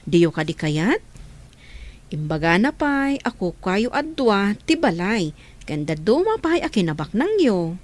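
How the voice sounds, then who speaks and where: Radio drama: an actress playing a reassuring, firm older caretaker, mid-pitched. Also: female voice, sing-song, unhurried.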